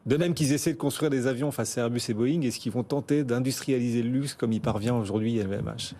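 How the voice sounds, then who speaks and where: A French news anchor hosting a business segment in a TV studio, with lively, joking asides. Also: male voice, medium pitch. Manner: lively, quick quips.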